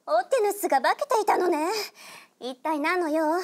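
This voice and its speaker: Haughty young heroine. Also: female voice, very high-pitched, moderate pace. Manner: haughty, tsundere. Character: proud, prickly young heroine, easily flustered. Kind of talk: anime radio drama acting.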